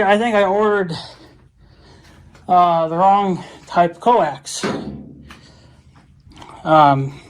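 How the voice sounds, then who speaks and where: A man chatting casually off the cuff, rambling and thinking aloud as he vlogs about a hands-on job, with some mild swearing. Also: a little high. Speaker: vlogger. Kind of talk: casual off-the-cuff DIY vlog chat.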